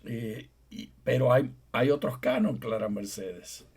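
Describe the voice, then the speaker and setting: Middle-aged guy co-hosting a bible study show, husky voice, explains stuff and keeps things on track. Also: mid-range voice, measured pace, darkish tone. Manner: explanatory, teasing, steers the conversation back on track. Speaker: co-host, theologian and professor. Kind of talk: conversational Bible study program.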